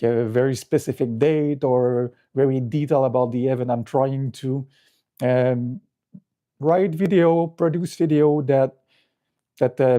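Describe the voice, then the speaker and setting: Casting: middle-aged male streamer, French Canadian accent, soft dark tone, halting unscripted delivery. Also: medium pitch. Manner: halting, searching for words, repeats words. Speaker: livestream host. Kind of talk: casual unscripted livestream chat.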